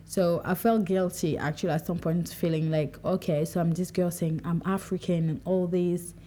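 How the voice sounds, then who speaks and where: A young woman from Cameroon speaking in a relaxed, reflective monologue, livening up when she quotes herself. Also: lowish voice, normal speed, slight background noise. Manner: conversational, animated when quoting herself. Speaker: podcast speaker.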